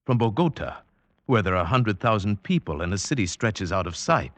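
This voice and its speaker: A man's voice: lowish voice, big pitch swings, brisk, no room sound. An actor playing an eager, bewildered traveler telling his tale in an old-time radio drama, with theatrical, emphatic delivery. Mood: earnest, frustrated.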